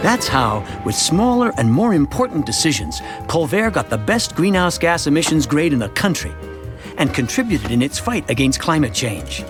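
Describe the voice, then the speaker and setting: A man narrating an animated short as a contented townsman, telling a scripted first-person story in a conversational storytelling manner. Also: a little high, moderate pace. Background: music.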